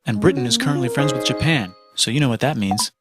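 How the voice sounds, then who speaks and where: Loud music runs behind a man narrating history as comedy from a script. He has a mid-pitched voice and keeps it deadpan, landing short, punchy phrases one after another. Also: fast.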